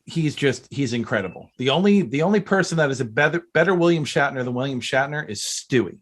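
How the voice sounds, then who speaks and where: A man with a medium-pitched voice hosts a casual podcast chat. His delivery is conversational and informal, the way one talks with a guest about shared interests. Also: expressive, brisk. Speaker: podcast host. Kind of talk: casual pop culture chat.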